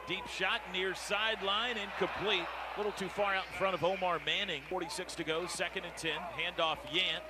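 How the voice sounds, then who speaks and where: American male play-by-play radio caller, higher register, terse urgent phrasing, noisy stadium broadcast. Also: normal speed, few pauses. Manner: clipped, urgent running description. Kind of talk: live American football radio play-by-play commentary. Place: outdoor football stadium. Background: steady stadium noise.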